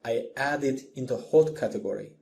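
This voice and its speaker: A man narrating a scripted music lesson as an instructor, in a measured, plainly explanatory way. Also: medium pitch, creaky, dark tone.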